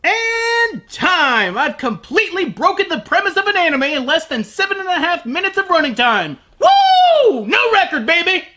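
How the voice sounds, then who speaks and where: A man's voice: very high voice, big pitch swings, normal speed, few pauses, pushed and bright. A man hosting a comedic anime review, sarcastic and profane, ranting at the show.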